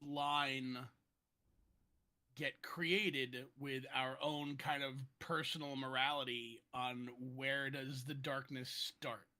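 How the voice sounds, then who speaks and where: A man chatting casually, thoughtful and halting as he works out his answer. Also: medium pitch, steady tone, bright, forceful tone. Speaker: cast member on a panel. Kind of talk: casual chat.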